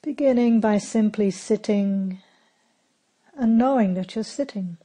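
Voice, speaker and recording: Slow, mellow woman guiding meditation. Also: medium pitch. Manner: calm, unhurried, gentle instruction with long pauses. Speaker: meditation teacher. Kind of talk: guided meditation.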